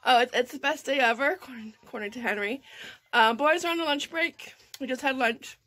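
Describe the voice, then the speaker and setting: A woman's voice: high-pitched, brisk. Chatty woman rambling over morning coffee. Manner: rambling, lots of fillers and soft laughs. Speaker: vlogger. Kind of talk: casual morning coffee chat. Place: home.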